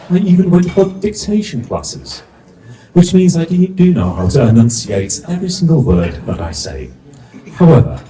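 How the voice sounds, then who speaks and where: A man's voice: a little high, dark tone, rough recording. A middle-aged man with a Yorkshire accent compering a live event, chatty and jokey, asking the crowd questions. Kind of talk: live stage compering to an audience. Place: event stage before a live audience.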